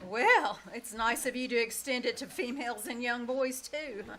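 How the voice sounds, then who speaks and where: High, bright, wry schoolteacher played by a woman. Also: moderate pace. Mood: flustered, polite. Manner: dry, exasperated wisecracking. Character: wry, sarcastic woman schoolteacher short of money. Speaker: stage actress in a radio troupe. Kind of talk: 1950s radio comedy acting. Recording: live theater stage, some ambient sound.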